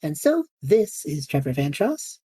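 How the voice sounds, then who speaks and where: A woman's voice: low voice, sing-song, a little slow, mellow, husky, exaggerated voice. A woman playing an easygoing, kind-hearted and modest young male adventurer in an audio drama. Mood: warm sign-off.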